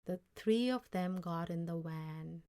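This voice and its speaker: A woman reading a children's story aloud in a measured, deliberate way. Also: fairly low pitch, mellow. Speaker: story reader.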